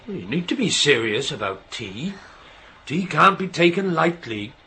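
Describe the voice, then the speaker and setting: Gruff father, higher-pitched. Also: very animated, unhurried, pushed and bright, a little hiss or hum, full character voice. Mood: fond, firm. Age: middle-aged. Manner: gruff, lecturing. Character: proud, touchy signalman father, gruff but fond. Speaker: railway signalman in a radio play. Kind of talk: naturalistic radio drama acting.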